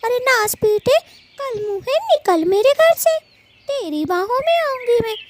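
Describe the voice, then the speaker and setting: A woman's voice: very high-pitched, lively intonation, measured pace, heavy background noise, voice pushed up. A woman voicing an indignant married female bird in a Hindi cartoon story, scolding and nagging.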